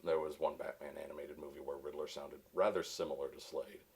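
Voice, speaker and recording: Man with an extremely deep voice. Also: little pitch movement, a little fast, darkish tone, husky. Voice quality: very deep, resonant voice. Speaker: voice actor. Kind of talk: casual livestream interview.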